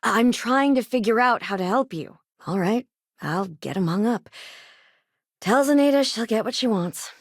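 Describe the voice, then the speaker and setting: A woman's voice: fairly high pitch, lively intonation, normal speed, dry recording. An actress playing a no-nonsense, weary but caring woman doctor in a video game, speaking in a dry, conversational way. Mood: firm, weary. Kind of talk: scripted game dialogue lines.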